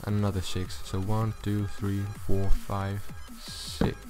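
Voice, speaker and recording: A man with a low voice giving a casual, unscripted gaming tutorial, thinking aloud as he goes. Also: monotone, slow. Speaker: video tutorial host. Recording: music behind the voice. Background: loud music.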